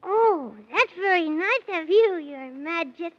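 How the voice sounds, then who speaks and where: High-voiced, polite little boy. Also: lively intonation, a little slow. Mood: eager, polite. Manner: meek, very polite, questioning. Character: polite, earnest, curious little boy adventurer. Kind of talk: 1930s children's radio serial acting. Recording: muffled 1930s radio recording.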